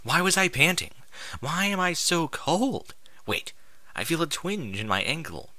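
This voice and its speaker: Guy narrating a story, super bright forceful voice, really lively pitch, informal and expressive. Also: a little slow, no room sound. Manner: expressive, informal, sweary interjections. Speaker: fan fiction reader. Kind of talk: animated first-person story narration with ad-libbed asides.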